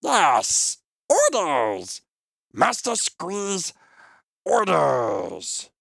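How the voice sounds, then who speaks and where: A man voicing a cocky little male goblin in an audiobook, with a shrill, creaky voice and drawn-out delivery. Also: huge pitch swings, fairly bright tone, no room sound, studio quality, heavily acted. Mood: smug.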